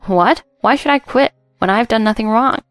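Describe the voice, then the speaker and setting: Woman acting indignant, creaky woman employee. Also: mid-range voice, moderate pace, no room sound, faint music behind, close to an everyday voice. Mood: enraged. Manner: insistent, accusing. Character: indignant young woman employee standing her ground.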